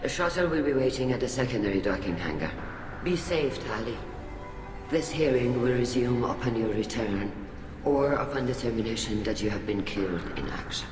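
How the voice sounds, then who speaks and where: A man's voice: mid-range voice, animated, natural voice. Man acting a solemn presiding admiral, cavernous echo. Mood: grave, measured. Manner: formal, ceremonial, deliberate. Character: grave, measured male alien admiral presiding over a trial. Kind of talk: video game voice acting. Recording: echoey hall, music behind.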